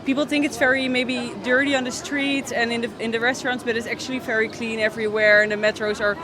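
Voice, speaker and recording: A woman's voice: on the high side, little pitch movement, fast, background noise. A young woman from the Netherlands, a tourist, chatting in an upbeat way in a street interview. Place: busy city street outdoors. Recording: crowded street background.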